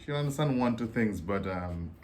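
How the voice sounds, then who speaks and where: A man from Dominica with a Dominican accent, explaining in a relaxed, joking way as co-host of a casual vlog. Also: fairly low pitch, unhurried.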